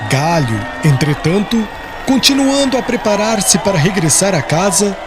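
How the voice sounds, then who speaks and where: Guy narrating an audiobook, pretty high voice, really lively intonation, solemn storytelling, soft music under it. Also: a little slow, a crowd in the background. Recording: music behind the voice.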